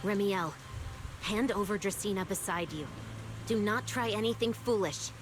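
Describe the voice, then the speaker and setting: A woman's voice: mid-range voice, normal speed, over music, lightly acted. Stern female officer voiced by an actress. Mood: stern, cold. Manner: formal, commanding. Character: stern, cold female law officer commanding units. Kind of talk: video game voice acting.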